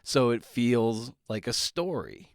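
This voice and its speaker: A man's voice: medium pitch, animated, takes it slowly, smooth, no room sound, clean studio sound. A man hosting a podcast, talking casually and colloquially with playful asides as he introduces and interviews.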